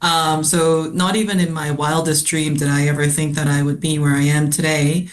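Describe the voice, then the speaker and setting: A woman from South Korea, a company CFO, answering interview questions in a measured way with frequent ums and uhs. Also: deep voice, flat delivery. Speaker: CFO guest. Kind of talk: podcast interview.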